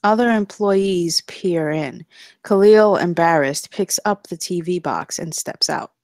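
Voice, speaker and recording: A woman's voice: fairly low pitch, a little slow, a little mellow, dry recording, clean studio sound. A woman narrating screenplay stage directions at a table read, in a scripted style.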